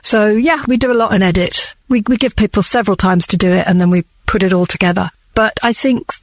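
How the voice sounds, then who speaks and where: A woman's voice: mid-range voice, brisk, no room sound. A woman speaking conversationally and earnestly as a director being interviewed on the radio.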